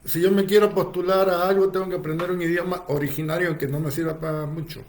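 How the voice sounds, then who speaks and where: A man's voice: fairly high pitch, fairly even intonation, quick pace. A man hosting a political talk show, prompting his guest with follow-up questions.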